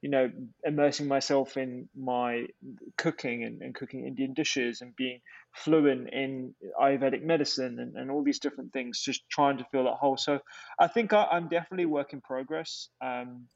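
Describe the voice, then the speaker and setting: Hesitant, soft-spoken man guest. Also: medium pitch, fairly even intonation, normal speed, vocal fry, dry recording, lo-fi sound. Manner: hesitant, many restarts and fillers. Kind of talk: reflective casual interview talk.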